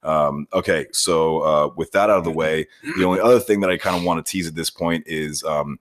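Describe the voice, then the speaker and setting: Low-voiced American man, casual podcast host. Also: fairly even intonation, a little fast. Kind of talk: casual comedic podcast banter.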